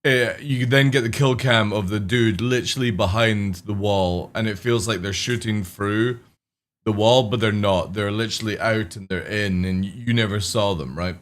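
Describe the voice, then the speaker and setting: A man's voice: lowish voice, moderate pace. A young man streaming, chatting casually with viewers, swearing now and then, his voice a little crackly. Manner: casual, swears, greets chat by name. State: slightly crackly voice.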